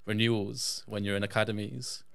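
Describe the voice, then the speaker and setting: A man's voice: fairly low pitch, little pitch movement, normal speed, creaky, fairly bright tone, clear, resonant tone, no echo, clean studio sound. A man from England, a podcast guest telling personal stories in a casual, conversational way. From England, born in Yorkshire, raised in south-west England. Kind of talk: casual personal storytelling in an interview.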